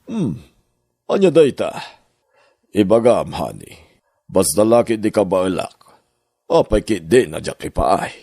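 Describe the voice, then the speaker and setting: Man acting slow, low Ilocano husband. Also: very animated, creaky, mellow, slightly rough, no room sound, heavily acted. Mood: tender, troubled. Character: devoted, hesitant husband longing for a child. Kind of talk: Ilocano radio-style drama acting.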